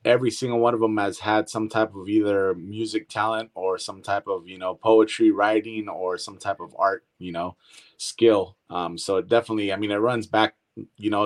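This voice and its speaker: A man from Northern California, a guest artist in a casual interview, relaxed and hesitant, with many ums. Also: on the low side, brisk.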